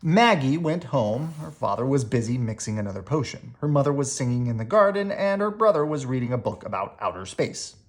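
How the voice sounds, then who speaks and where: An expressive storybook reader with a very animated delivery. The pitch moves up and down freely, and key words get drawn out for dramatic emphasis, as in a bedtime read-aloud for children. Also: a little fast, hardly any pauses.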